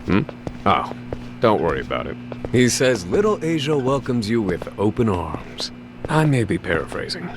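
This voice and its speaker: An actor playing a wry, casual fixer and guide in a video game dub, with a glib, teasing way of talking. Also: male voice, lowish voice, very animated, normal speed, over music. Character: breezy, wry male real-estate fixer and local guide.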